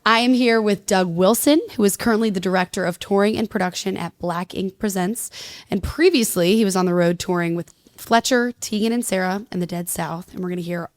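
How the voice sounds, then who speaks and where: A woman's voice: mid-range voice, brisk. Upbeat young woman hosting a podcast. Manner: upbeat, enthusiastic. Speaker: podcast host. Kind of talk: podcast interview and ad read. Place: conference venue, recorded live.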